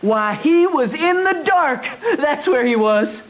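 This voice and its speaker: An actor playing an eager, boastful Southern boy of about thirteen, chatting excitedly in an old radio drama. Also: extremely high pitch, slow pace, heavily acted. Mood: eager, playful. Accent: American Southern. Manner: excitable, chatty country speech. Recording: dull old radio broadcast.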